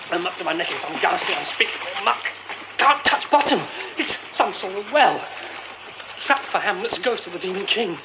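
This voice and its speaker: An actor playing a young man poking around a derelict theatre, muttering to himself as he goes and crying out for help when he falls into a pit beneath the stage. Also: high-pitched. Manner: muttering to himself, then panicked shouting. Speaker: radio drama lead. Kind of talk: radio drama acting. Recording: muffled old radio play with hiss.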